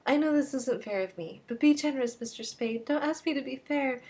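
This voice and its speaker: A woman's voice: fairly quick, darkish tone, husky. A woman voicing a desperate, theatrical young woman who begs and implores in a dramatic reading of a novel. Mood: pleading. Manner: breathless, imploring, rising pitch.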